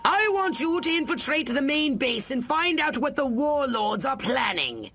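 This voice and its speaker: A man's voice: very high voice, sing-song, unhurried, sharp tone, exaggerated voice. A man voicing an imperious, scheming alien commander giving mission orders in a video game cutscene. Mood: commanding.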